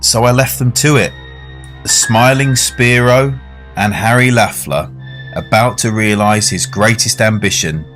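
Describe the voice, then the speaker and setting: Radio drama diner-narrator, played by a man: lowish male voice, polite, reflective, measured, with music underneath. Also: a bit bright. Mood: reflective, ominous.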